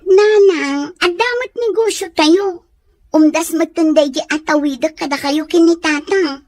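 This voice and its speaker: A woman's voice: very high voice, a little slow, darkish tone, no echo. A woman with a Filipino Ilocano accent playing a young student daughter, reluctant but affectionate, in an audio drama. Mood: stubborn, pleading.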